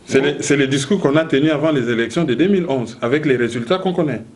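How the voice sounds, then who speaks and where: A man's voice: medium pitch, fast, darkish tone. Man challenging as debate host. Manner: challenging, interjecting.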